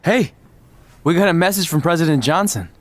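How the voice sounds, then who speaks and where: An actor playing an eager, joking young pro wrestler in a video game cutscene. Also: male voice, a little high, expressive, fairly quick, lightly acted. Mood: excited. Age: young adult.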